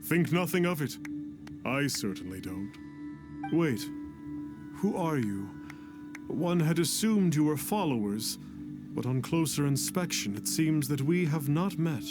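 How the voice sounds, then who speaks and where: Grandiose fake sage for a game, slow and swooping, very stately, music behind. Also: male voice, on the high side, lively intonation. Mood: lofty. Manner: pompous, stately, archaic formal phrasing. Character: pompous self-proclaimed immortal master, grandiose, falsely humble charlatan. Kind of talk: theatrical game voice acting.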